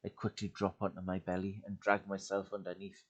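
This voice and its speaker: Man reading horror aloud, hushed then urgent. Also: monotone, a little fast. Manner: swings from loud urgency to hushed, breathy lows. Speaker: YouTube creepypasta reader. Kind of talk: dramatic horror story reading. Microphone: home desk mic.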